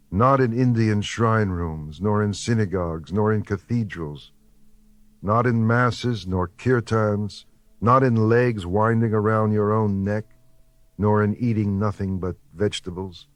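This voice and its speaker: A man reading spiritual poetry in a contemplative, measured and deliberate way, with pauses between phrases. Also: fairly low pitch, no room sound. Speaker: poetry reader. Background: soft background music.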